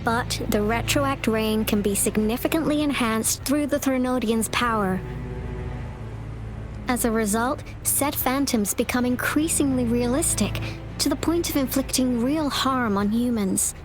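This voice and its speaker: A woman performs a composed, earnest female official in charge of a city's defenses. Her voice sits fairly high and she speaks formally, with clear wording. It is video game dialogue, set over prominent music. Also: unhurried, lightly acted. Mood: grave. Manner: formal, articulate. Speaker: game character, director of defense fortifications. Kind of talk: English game dub dialogue. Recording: studio voice under loud music. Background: game soundtrack music.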